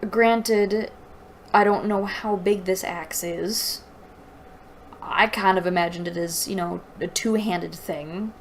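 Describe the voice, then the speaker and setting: A woman's voice: fairly low pitch, steady tone, slow, a little hiss or hum. A woman reading aloud and giving mocking, incredulous commentary as the host of a book review. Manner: exasperated, incredulous.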